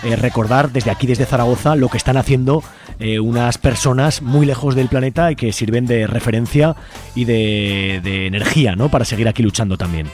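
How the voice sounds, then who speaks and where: A man's voice: medium pitch, fairly quick, darkish tone, soft background music. A man hosting a radio show in a casual, chummy way. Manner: informal, chummy. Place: radio studio.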